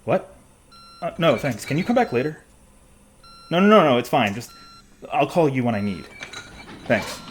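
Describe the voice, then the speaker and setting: A man's voice: mid-range voice, sing-song, normal speed, pauses often, slight background noise, natural voice. An actor playing a pensive, rambling male podcast host in a conversational scripted audio monologue. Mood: distracted, polite.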